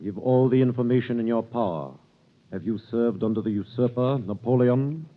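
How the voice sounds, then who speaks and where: An actor playing a calculating king's prosecutor in a 1930s radio drama, questioning a prisoner in a measured, authoritative way. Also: mid-range voice, lightly acted. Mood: interrogating. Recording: tinny old radio broadcast.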